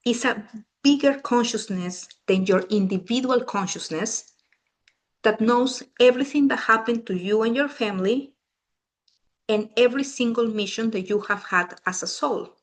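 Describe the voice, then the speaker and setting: A woman's voice: a little high, moderate pace. A middle-aged woman from Peru with a Peruvian Spanish accent, a native Spanish speaker, leading a family constellations talk in a casual, chatty way and often restarting her sentences. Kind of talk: casual talk to a small group.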